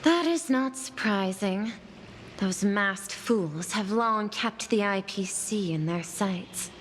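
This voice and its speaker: Actress as a slow, measured corporate envoy woman. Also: mid-range voice, a little hiss or hum, close to an everyday voice. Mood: calm, assured. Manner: formal, measured, deliberate. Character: poised, calculating corporate envoy woman, courteous schemer. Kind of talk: scripted video game dialogue. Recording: long reverb, clear music underneath.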